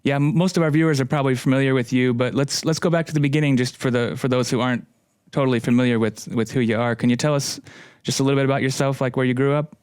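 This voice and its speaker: A man's voice: medium pitch, steady tone, fast talker, a little mellow, smooth, no room sound, studio quality. A man from Finland hosting an interview, chatty and friendly. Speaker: podcast host.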